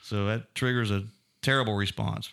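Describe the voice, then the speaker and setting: Man, podcast host, gravelly, bright, low-ish voice, chatty. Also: fairly even intonation, moderate pace. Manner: chatty, laughs easily, rambling. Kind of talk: casual chat. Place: podcast room.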